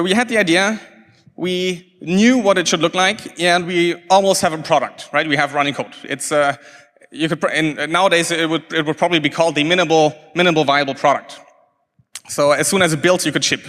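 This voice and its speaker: A man's voice: a little high, pushed and bright. German-native man giving a talk in a reverberant hall. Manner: hesitant, many restarts and fillers. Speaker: conference speaker. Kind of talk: technical talk with slides.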